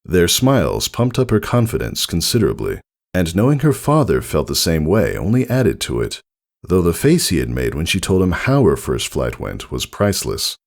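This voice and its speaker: A man's voice: deep voice, wildly swooping pitch, normal speed, creaky. A man narrating a scripted story in the style of an audiobook reading.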